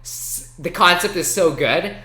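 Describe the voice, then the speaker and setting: A man's voice: high voice, measured pace, pushed and bright. A man co-hosting a talk show, chatting casually and enthusiastically about video games and anime in a rambling, informal way. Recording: echoey room.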